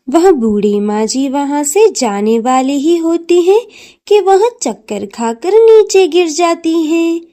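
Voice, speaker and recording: A woman's voice: sits high, mellow. A woman narrating a scripted children's cartoon story.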